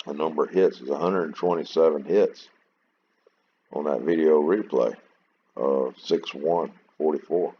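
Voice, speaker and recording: A middle-aged man with a very low voice, casually and plainly talking through a wargame replay and rambling as he goes. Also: highs cut off, a little hiss or hum. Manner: rambling, plainspoken, self-deprecating. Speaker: gameplay video host.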